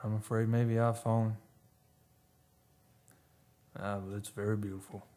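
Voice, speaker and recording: An actor playing a haunted, obsessed oil driller confessing a horror in a halting, brooding radio monologue. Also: male voice, fairly low pitch, level tone, dark tone, natural voice. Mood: dreamy, unhinged. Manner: halting, brooding, dramatic storytelling.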